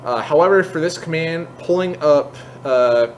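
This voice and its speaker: A man hosting an informal software tutorial, explaining step by step and thinking aloud as he goes. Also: on the high side, normal speed, slight background noise. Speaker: tutorial host. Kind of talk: casual screen-share software tutorial. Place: indoors at a computer, voice-over for screen capture.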